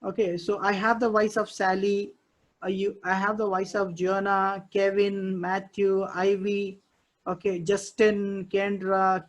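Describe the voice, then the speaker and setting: Male instructor, Indian English accent, fairly high voice, repetitive teaching style with frequent "okay" check-ins. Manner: checks in often with "okay" and "understood?", repeats phrases. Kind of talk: live technical class walkthrough.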